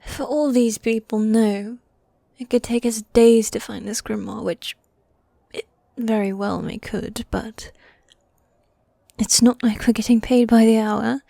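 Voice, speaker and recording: A woman playing a teasing, needy yet dominant goth demon girlfriend in a fantasy ASMR roleplay, soft and breathy, often whispering, close to the mic. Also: fairly high pitch, steady tone, measured pace, no room sound. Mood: coaxing, relaxed. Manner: teasing, coy, affectionate, with sighs. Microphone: close, dry microphone.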